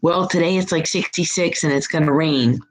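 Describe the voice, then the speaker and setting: A hoarse, husky middle-aged woman hosting a live stream as a psychic reader, chatting in a rambling, upbeat way from her home. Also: deep voice, moderate pace, a little hiss or hum. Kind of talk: casual live-chat monologue.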